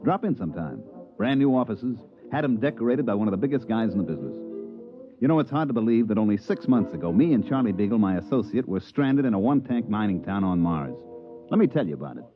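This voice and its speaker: An actor playing a slick, scheming American promoter in a 1950s radio drama, smooth-talking and wisecracking. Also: male voice, lowish voice, big pitch swings, fast, over music. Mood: breezy, boastful. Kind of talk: 1950s radio acting, narration and dialogue. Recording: muffled 1950s radio recording.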